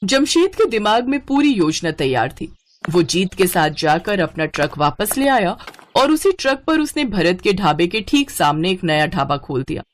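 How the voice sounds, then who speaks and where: A woman's voice: fairly low pitch, sing-song, normal speed. A woman reading a scripted moral story as its narrator.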